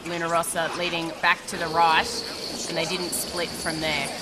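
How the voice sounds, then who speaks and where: A woman's voice: on the low side, little pitch movement, moderate pace, heavy background noise. A woman hosting a chat show about sailing, upbeat and chatty, with an Australian accent. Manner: upbeat, chatty, laughs easily. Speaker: host. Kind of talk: casual chat and race commentary.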